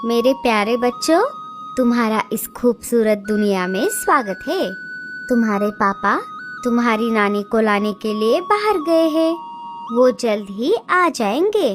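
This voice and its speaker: A woman voicing a gentle, anxious young mother bird in a children's cartoon, with an earnest, drawn-out delivery. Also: a little high, music in the background, close to an everyday voice. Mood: tender, worried.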